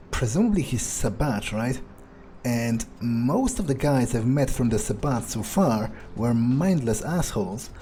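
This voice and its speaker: An unhurried, mellow voice muses in character as a cynical, scheming vampire during a game playthrough. The delivery is unscripted and halting, with casual profanity, over faint music. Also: medium pitch. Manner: hesitant, musing, casually profane. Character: scheming, amoral vampire musing on own plans. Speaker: player narrating a roleplay game playthrough in character. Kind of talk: unscripted in-character monologue, thinking aloud.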